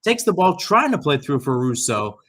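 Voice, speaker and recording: A man's voice: fairly high pitch, sing-song, normal speed, a bit bright. A young man doing live radio-style soccer play-by-play, with conversational analysis that wanders and corrects itself. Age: young adult.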